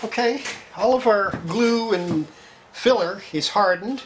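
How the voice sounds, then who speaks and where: A woman's voice: medium pitch, slow. An older woman gives casual, matter-of-fact hands-on narration, talking while she works as a restorer demonstrating repairs. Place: indoor home workshop. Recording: roomy workshop, loud sanding and rubbing noise.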